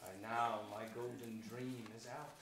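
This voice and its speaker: A man's voice: mid-range voice, little pitch movement, measured pace, background noise, lightly acted. A young man playing a scheming, ambitious royal usurper in a grandiose, declamatory Shakespearean stage reading. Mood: gloating ambition. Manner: declamatory, grandiose, with big swells and drops. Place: theatre stage before an audience. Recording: echoey theatre stage.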